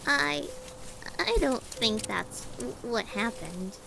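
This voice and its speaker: A woman's voice: on the high side, sing-song, slow, gravelly, pushed and bright, a little hiss or hum, full character voice, low-quality recording. A woman voicing an anxious, timid monster scientist in an audio drama, apologetic and stammering heavily. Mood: hesitant. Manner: nervous, heavy stammer.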